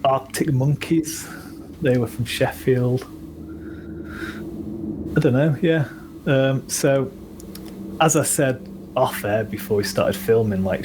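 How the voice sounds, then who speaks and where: A man with a Manchester accent, a guest musician in a casual podcast chat, talking in a low-key, rambling way with many ums. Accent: Northern English (Manchester). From England. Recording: audible background noise.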